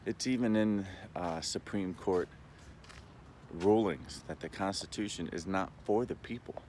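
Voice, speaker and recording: A man giving a rambling, casual monologue as a vlogger, explaining in a halting way and checking in as he goes. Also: low-pitched, darkish tone, a little hiss or hum.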